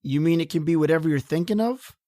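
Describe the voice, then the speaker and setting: A woman's voice: deep voice, fast talker, warm tone, no echo, studio quality, close to an everyday voice. A woman doing a frightened, stammering male lab assistant. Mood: worried. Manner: stammering, pleading, rough slangy speech. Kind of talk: old-time radio horror acting.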